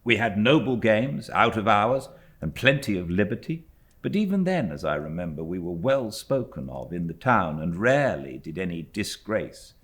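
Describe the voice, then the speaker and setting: A man with a British accent reading a classic novel as an audiobook narrator, in a measured, expressive storytelling manner. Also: fairly low pitch. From Britain. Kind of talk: literary scripted narration.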